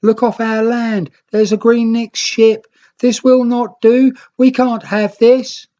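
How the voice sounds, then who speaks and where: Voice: mid-range voice, level tone, slow, dark tone, highs cut off, voice pushed up, lightly acted. A storybook narrator voicing grumpy, stubborn island creatures who scold indignantly. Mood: outraged.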